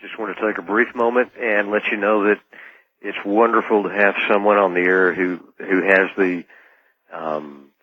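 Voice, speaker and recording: A mature man calling in to a radio show, speaking earnestly and somewhat formally with halting phrasing, over a band-limited phone line. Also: on the low side, steady tone, no echo. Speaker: radio call-in caller. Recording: dull band-limited phone call, dry.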